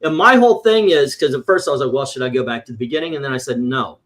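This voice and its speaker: A man's voice: medium pitch, quick pace, a bit bright. A man reviewing comic books in casual, opinionated panel chat, rambling and swearing, acting out his exasperated reactions.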